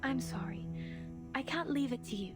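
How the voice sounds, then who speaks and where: An actress voices a young woman in a story-driven video game, plucky and wry with an undertow of grief for her father. Her voice sits a little high, and music plays clearly underneath. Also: moderate pace, lightly acted. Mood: reflective, confiding.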